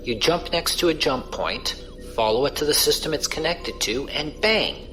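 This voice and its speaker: A man's voice: fairly high pitch, lively intonation, moderate pace, fairly bright tone. Space pirate crewman, wry, colloquial, explanatory, sci-fi audio drama, music behind. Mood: casual, explanatory. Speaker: voice actor. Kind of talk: science fiction audio drama dialogue.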